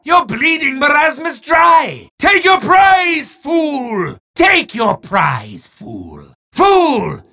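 A pompous male demon in a game who bellows his lines with theatrical menace and refers to himself in the third person. The voice swings wildly up and down in pitch, drags out its words slowly, and has a bright, forceful edge. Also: wildly swooping pitch, heavily acted. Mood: grandly menacing. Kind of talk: game character voice acting.

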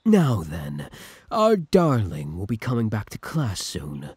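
A man acts out a possessive villain from the future in an intimate audio roleplay. He speaks slowly and deliberately in a lowish, husky voice, commanding yet lovesick, recorded close and dry. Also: huge pitch swings, a little mellow, dry recording, heavily acted. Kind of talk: scripted ASMR roleplay acting.

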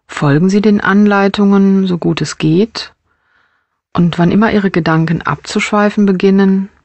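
A woman narrating a guided body scan meditation as a mindfulness teacher, with measured, gentle instructional phrasing. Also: mid-range voice, fairly even intonation, creaky, no echo.

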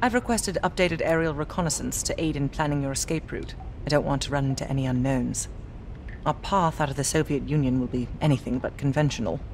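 A woman's voice: low-pitched, slight background noise. Dry British woman intelligence agent. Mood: dry, businesslike. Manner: dry, articulate, measured. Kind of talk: scripted video game cutscene acting.

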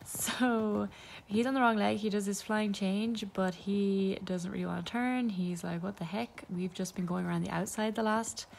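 A woman's voice: medium pitch, fairly even intonation, moderate pace, vocal fry, darkish tone, a little hiss or hum. A young woman talking casually through a ride as a voice-over, with lots of ums and small laughs. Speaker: equestrian vlogger.

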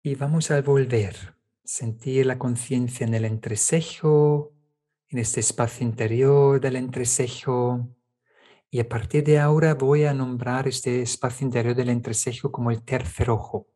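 Slow, mellow man guiding meditation. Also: medium pitch, fairly even intonation. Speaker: meditation teacher. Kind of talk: guided meditation, calm step-by-step instruction.

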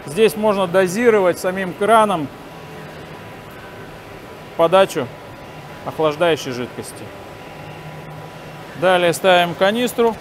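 A man in his forties giving a halting, step-by-step hands-on demonstration while he works. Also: high voice. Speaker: instructor demonstrating sawmill assembly. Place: large factory workshop hall, indoors. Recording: noisy industrial workshop ambience. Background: clear workshop noise.

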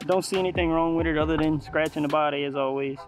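Higher-voiced man, casual vlogger. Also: fairly even intonation, fast talker, music in the background. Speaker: hobby RC car vlogger. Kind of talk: casual vlog commentary.

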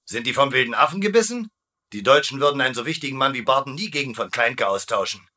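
Bright-voiced, cocky wisecracking officer. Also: male voice, medium pitch, lively intonation, fast talker. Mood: mocking. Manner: breezy, teasing, colloquial. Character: cocky, wisecracking officer bluffing his captive. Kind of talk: dubbed TV sitcom acting.

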